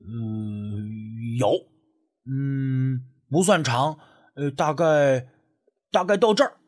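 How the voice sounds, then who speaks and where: Man acting a jittery, hesitant village sidekick, husky voice. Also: mid-range voice, very slow, a little mellow. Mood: flustered, hesitant. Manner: hesitant, stammering, interjection-filled. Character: Ah Shui, timid, superstitious, excitable village friend, male.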